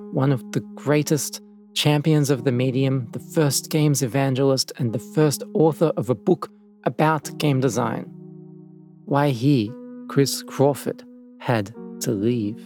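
A man reading scripted documentary narration as a measured, dramatic storyteller. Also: medium pitch, darkish tone. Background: faint music.

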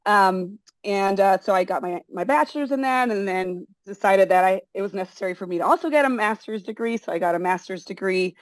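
A middle-aged woman who grew up in Brazil speaks both Portuguese and English. As an interview guest she rambles, full of fillers and restarts. Her voice sits at an ordinary pitch, and the recording is dry with no room sound. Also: fairly quick, few pauses, no room sound. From born in the United States, raised in Brazil. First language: bilingual in Portuguese and English. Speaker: interview guest, forest school founder. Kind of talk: casual interview conversation.

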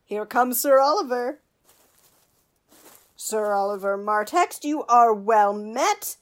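A woman's voice: a little high, expressive, slow pace. A woman playing a wordy, pompous male court fool in a Shakespearean radio play, with a theatrical, mock-learned manner. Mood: grandiose.

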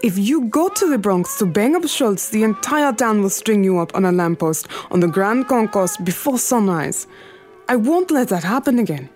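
A woman acts out a female gangland boss in a period radio drama. She is imperious, shrewd and decisive, giving clipped orders in a mid-pitched voice, with music underneath. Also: big pitch swings, moderate pace, darkish tone, lightly acted. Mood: steely. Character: commanding, shrewd woman crime boss and mother.

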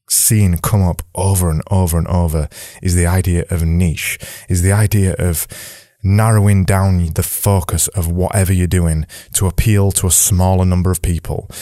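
A man hosting a podcast as a casual audio diary from his attic at home, his voice slightly raspy, rambling and cutting in on himself. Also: low voice, warm tone, no room sound, studio quality. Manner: rambling, self-interrupting. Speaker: podcast host.

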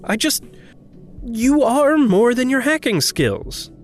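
A woman acting a gentle, sincere, encouraging friend in a naturalistic audio drama. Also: fairly high pitch, sing-song, slow pace, faint music behind. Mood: warm, encouraging.

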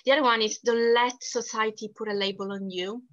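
A woman from Sicily speaks English with a strong Italian accent, her first language being Italian. Her voice is bright and forceful, and she talks earnestly, pushing her points with emphasis and frequent restarts. The sound is dull and lo-fi. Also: on the high side, steady tone, fairly quick. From Sicily, Italy. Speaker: podcast guest. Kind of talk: casual interview talk.

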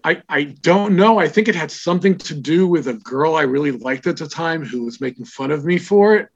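A man's voice: fairly high pitch, animated, creaky, slight background noise. An older man born in Israel, a comic store owner, telling stories from his past in a wry, reflective, casual interview. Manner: reflective, wry, unhurried storytelling. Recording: dull lo-fi remote audio.

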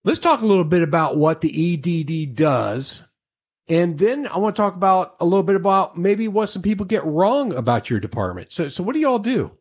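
A man's voice: high voice, very animated, fairly quick, breathy edge. An American man hosting a casual interview in a chatty, folksy way, rambling into asides. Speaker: interview host.

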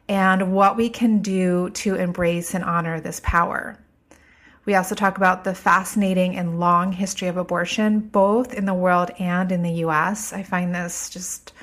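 A woman hosting a podcast, speaking a little stuffed up from a cold, earnest and slow on her emphatic phrases. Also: fairly low pitch, fairly bright tone. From United States. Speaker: podcast host. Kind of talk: podcast intro monologue.

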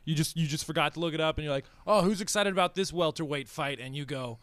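A comedian chatting casually, with lots of stammered restarts and quick agreement. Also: male voice, on the high side, a bit bright, no room sound. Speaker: comedian, co-host. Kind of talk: casual chat. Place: podcast studio.

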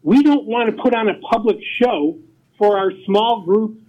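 Formal male official in a dull lo-fi recording. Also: sits high. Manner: measured, formal. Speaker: township supervisor. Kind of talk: formal statement at a public meeting.

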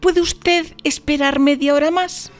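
A woman's voice: sits high, fairly even intonation, unhurried, music in the background. A woman playing a polite, eager lady passer-by in an audio story, with a theatrical, obliging manner. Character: polite, eager passing lady offering help.

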